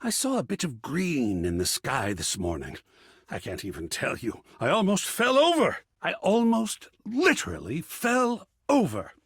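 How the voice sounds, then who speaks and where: A flamboyant, art-besotted video game character, theatrical and effusive. The voice is husky and a little high for a male, and it swings dramatically up and down as he savours his words. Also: wildly swooping pitch, unhurried, fairly bright tone, no echo, clean studio sound, heavily acted. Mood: excited, grand. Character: flamboyant, gushing, art-obsessed artwork come to life, male.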